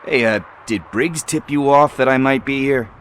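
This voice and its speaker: An actor playing a chatty, evasive street hustler who talks in wheedling casual slang. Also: male voice, medium pitch, normal speed, fairly bright tone, a little hiss or hum, close to an everyday voice. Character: shifty street hustler, middle-aged man, chatty and evasive.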